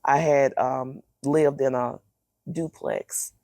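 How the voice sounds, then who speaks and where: A woman's deep, raspy voice with a gravelly crackle, recorded close and dry. She is middle-aged and speaks candidly and expressively, like a guest sharing her own story in a relaxed interview. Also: slow, no room sound.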